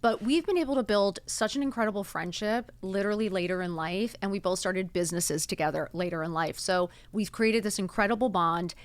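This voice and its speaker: A woman in her fifties hosts a chat show and talks in an effusive, gushing way. Her voice sits at a middle pitch and is recorded close and dry, with no room echo. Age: 50s. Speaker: podcast host. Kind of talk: casual chat.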